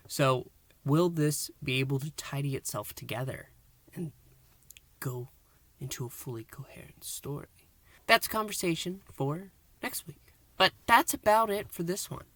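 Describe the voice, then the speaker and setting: A man's voice: medium pitch, a bit bright, dry recording. A man hosting a review show, recapping and reviewing in a casual, earnest, enthusiastic fan style. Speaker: host of an audio drama review show.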